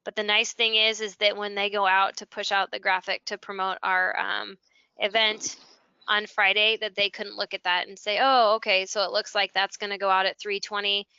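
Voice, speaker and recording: Bright, clear American woman with even intonation. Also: medium pitch, normal speed, dry recording, lo-fi sound. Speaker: school technology integration specialist, webinar guest. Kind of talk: conversational software walkthrough.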